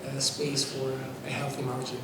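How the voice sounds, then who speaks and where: A man's voice: medium pitch, completely flat delivery, brisk, a crowd in the background. A man with an Italian accent speaking as a fintech panelist in a conference panel discussion, eager and dotted with fillers. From Italy. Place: conference stage. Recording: roomy hall, distant mic, noisy.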